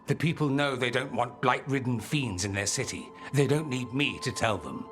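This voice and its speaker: An actor playing a dramatic, defiant rebel leader in a fantasy video game, with a theatrical, rhetorical manner. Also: male voice, mid-range voice, moderate pace, a bit bright, soft background music, lightly acted. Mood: resolute. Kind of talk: video game cutscene acting.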